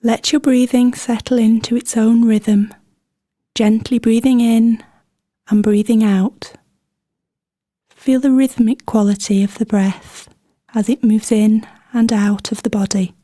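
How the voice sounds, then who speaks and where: A woman guides a meditation with a relaxed, warm and mellow voice. She speaks gently and soothingly, leaving unhurried pauses between her instructions. The sound is very dry, with no sense of the room around her. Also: fairly high pitch, no room sound. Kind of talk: guided body scan meditation, scripted instruction.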